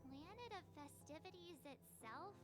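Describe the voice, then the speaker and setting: Excitable young game heroine, extremely high voice, blunt, outspoken, incredulous, faint music behind. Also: female voice, unhurried. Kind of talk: video game story dialogue.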